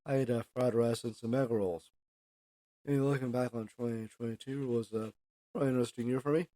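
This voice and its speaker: A middle-aged man with an American accent hosts a casual solo podcast, rambling along in a halting way. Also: medium pitch, steady tone, dark tone, dry recording. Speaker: podcast host.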